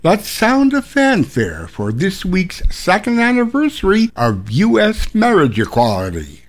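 A man's voice: high-pitched, huge pitch swings, a little slow, background noise. A man hosting a radio programme with scripted narration. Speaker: radio host.

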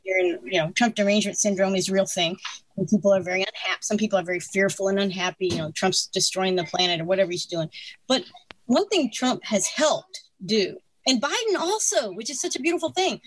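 A woman's voice: mid-range voice, brisk, no echo, poor audio. Opinionated woman in her sixties, dry sound. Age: 60s. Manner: opinionated, animated, laughs between points. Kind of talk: casual political conversation.

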